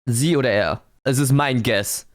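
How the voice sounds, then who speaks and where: A man's voice: medium pitch, normal speed. A man streams his casual live reactions in German. He talks in loose slang and drops English phrases and swear words into his sentences as he comments to his chat.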